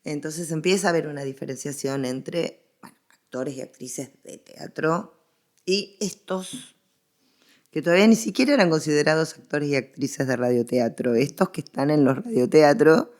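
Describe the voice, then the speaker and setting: A woman with an Argentine accent speaking as an expert guest in a conversational scholarly interview, explaining in an animated way and repeating phrases for emphasis. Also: low voice, moderate pace.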